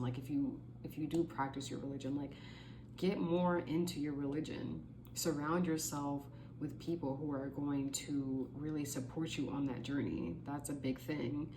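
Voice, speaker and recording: A young woman in her late twenties talks to her viewers in a loose, earnest, chatty way, filling her sentences with "like" and "you know". Her voice sits quite low for a woman. A steady background noise runs under the recording. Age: 20s. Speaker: vlogger. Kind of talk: casual motivational talk to camera.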